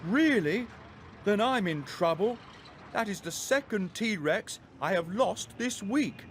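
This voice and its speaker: A man's voice: high voice, animated, slow, a bit bright, a little hiss or hum, pitched above the performer's own voice, full character voice. A man voicing a panicky, distraught lorry driver in a children's audio story, wailing melodramatically. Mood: horrified.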